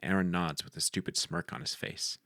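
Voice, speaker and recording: A man's voice: low voice, steady tone, brisk, vocal fry, bright, forceful tone, no room sound, studio quality. A man narrating the action lines of a screenplay in a scripted read.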